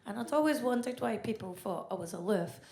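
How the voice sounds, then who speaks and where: A Scottish woman doing a blunt, deadpan stand-up set. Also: mid-range voice, fairly quick, creaky, echoey room. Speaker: stand-up comedian. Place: comedy club stage.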